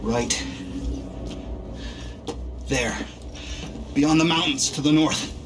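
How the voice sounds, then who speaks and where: A man's voice: fairly high pitch, drawn-out words. A man playing an earnest scientist among survivors in a video game, explaining things with urgency. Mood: urgent, excited. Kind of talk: video game cutscene acting.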